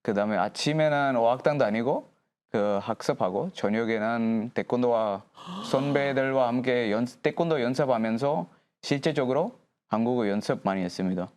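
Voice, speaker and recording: A young man from India, a guest on a TV talk show, speaking slow, searching Korean with restarts. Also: mid-range voice, darkish tone, clean tone. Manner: slow, searching non-native speech with restarts. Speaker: guest, taekwondo athlete. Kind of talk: casual chat on a TV talk show.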